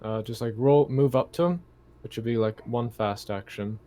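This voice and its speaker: A man's voice: mid-range voice, normal speed, warm tone. A man chatting casually as a player in an online tabletop roleplaying game. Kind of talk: casual chat during online gaming. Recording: faint background noise on voice call.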